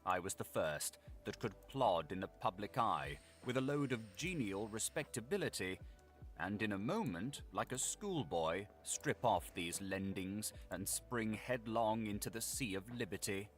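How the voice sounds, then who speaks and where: A man playing a grave, scholarly Victorian gentleman confessing his secret evil in a formal, theatrical audiobook narration. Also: on the low side, lively intonation, a little slow, faint music behind. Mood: grave confession. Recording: loud vehicle and machinery noise behind. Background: vehicle and machinery noise from a video game.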